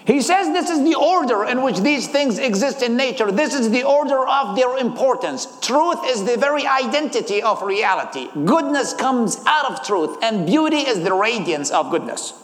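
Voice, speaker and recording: A man's voice: extremely high pitch, expressive, normal speed, hardly any pauses. A man in his thirties from Iraq with an Iraqi accent, giving a sermon and personal testimony. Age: 30s. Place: large hall, live talk. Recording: echoey hall with long reverb.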